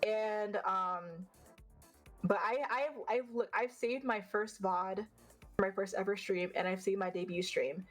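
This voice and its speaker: A woman with a deeper, unfeminine voice talks quickly and bluntly in a relaxed interview. She swears casually and trips into a slight stutter now and then. Faint music sits in the background. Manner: fast, blunt, swears freely. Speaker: vtuber guest.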